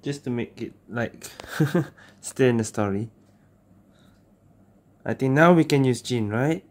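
Slow, offhand male gamer commentary. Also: medium pitch. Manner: offhand, muttering to self, slangy. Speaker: video game streamer. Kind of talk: casual gameplay commentary. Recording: game music behind the voice.

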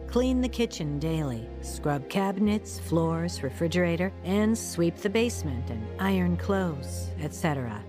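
An actress playing an emotional young woman in a radio drama, expressive and earnest. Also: on the low side, measured pace, hardly any pauses, music in the background. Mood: earnest, wounded. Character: reckless, emotional nineteen-year-old woman telling her story. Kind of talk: radio drama acting and first-person narration.

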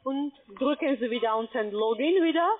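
Lady phone agent, broken grammar, high voice, phone-line sound. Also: normal speed. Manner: instructing step by step, broken grammar. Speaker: scam call center agent. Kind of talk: phone call.

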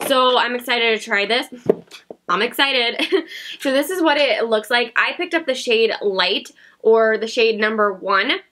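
A woman in her thirties talks to camera from her home beauty room, chatty and giggly, making fun of herself. Her voice is a bit high and bright, with a slight nasal, stuffy sound from a cold. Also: animated, unhurried. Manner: chatty, giggly, self-mocking. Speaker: beauty vlogger. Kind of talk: casual haul chat to camera.

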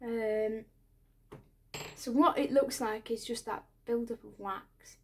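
A woman in her twenties plays a doctor in a soft-spoken ASMR roleplay. Her voice sits a bit high and she talks very slowly, with hesitant pauses and a reassuring way of explaining things. She is close to the mic in a small indoor room. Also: fairly even intonation, a little hiss or hum. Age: 20s. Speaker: ASMR creator playing an ear doctor.

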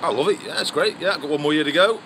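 A man's voice: fairly high pitch, very fast. A man with a northern English accent, chatting casually as a student. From Stoke-on-Trent, England. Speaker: student, trainee vicar. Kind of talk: casual chat. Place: outdoors by a brick building. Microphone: handheld phone at a short distance. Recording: clearly noisy outdoor phone video.

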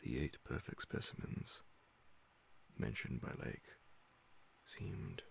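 A middle-aged man with an extremely low voice full of heavy vocal fry reads a story in ASMR audiobook style. He goes slowly, with frequent pauses and a hushed delivery into a studio mic. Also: expressive, slight background noise. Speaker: narrator reading classic horror stories. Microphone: large studio condenser microphone close beside him, headphones on.

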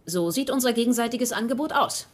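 Sharp, higher-voiced sitcom wife. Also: female voice, animated, a little fast. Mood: earnest. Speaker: dub actor in a sitcom. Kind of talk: dubbed sitcom dialogue.

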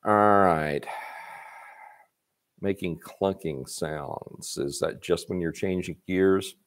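A low-pitched man in his fifties with a drawl talks casually from a workshop, answering viewers' repair questions on a live stream. He is folksy and chatty, and he folds little stories of his own into his advice. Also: slow. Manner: folksy, chatty, reads questions aloud and adds anecdotes. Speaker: parts company host answering viewer questions. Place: workshop garage with motorcycles, indoors.

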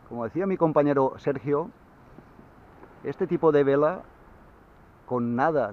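A man explaining kayak sailing in a relaxed, casual way, with fillers, speaking from a kayak at sea into an action camera. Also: mid-range voice. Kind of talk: casual how-to explanation on the water. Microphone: action camera mounted on the kayak. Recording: dull lo-fi camera audio, faint wind.

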